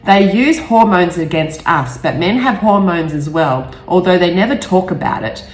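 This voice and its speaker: A woman's voice: on the low side, expressive, normal speed, lightly acted. Woman reading as a bitter, scornful mother, echoey. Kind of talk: audiobook character dialogue.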